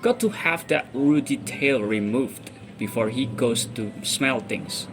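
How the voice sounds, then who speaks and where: A man voicing a gruff, scornful middle-aged uncle in a storybook reading, dismissive and blustering. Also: mid-range voice, expressive, a little slow. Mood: grudging. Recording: music behind the voice.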